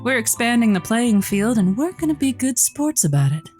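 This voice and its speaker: A woman's voice: fairly high pitch, sing-song, moderate pace, darkish tone, soft background music. An actress playing a flirty, motherly late-night lonely-hearts radio host in an audio drama, teasing and theatrical. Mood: flirty, reassuring. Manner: teasing, theatrical, folksy endearments.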